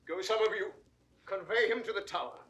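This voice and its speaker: A man's voice: high-pitched, fairly quick, sharp tone. An actor playing a commanding, terse usurper king with curt authority, in a 1960s Shakespearean audio drama. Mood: loud authority. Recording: roomy, echoing vintage studio recording.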